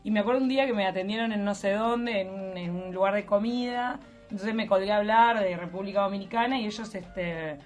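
Woman, Uruguayan accent, panelist, casual chat, animated, faint music behind. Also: mid-range voice, a little fast. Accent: Uruguayan Spanish. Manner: indignant, animated storytelling. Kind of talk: casual discussion.

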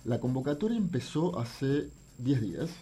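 A middle-aged man with a Uruguayan accent giving explanatory interview answers as a guest, halting and thoughtful, repeating words as he searches for the next one. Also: medium pitch, big pitch swings, mellow. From Uruguay, raised abroad in France, Venezuela and Argentina. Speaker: interview guest, visual artist. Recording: faint background noise, drifting off mic.

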